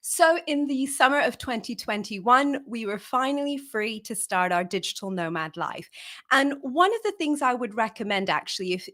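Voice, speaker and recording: A woman's voice: a little high, a bit bright. A woman from Portugal speaking conversationally to a livestream audience, with a chatty, informal storytelling delivery. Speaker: conference speaker.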